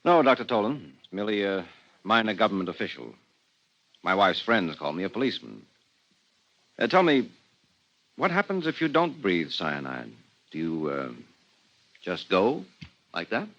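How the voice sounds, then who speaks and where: A man's voice: low voice, sing-song, normal speed, pauses often, fairly bright tone, heavily acted. An actor playing a dry, sardonic policeman husband who asks probing questions in a 1950s radio drama. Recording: muffled 1950s radio recording.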